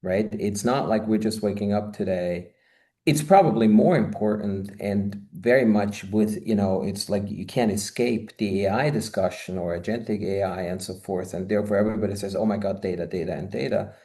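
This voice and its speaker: A man in his fifties from Austria talks from his home office over a webcam. His voice sits low and dark, and he wanders through long answers full of asides. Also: moderate pace. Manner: expansive, rambling with many "you know" asides. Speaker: guest, marketing consultant. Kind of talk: conversational video-call interview. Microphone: video call, webcam.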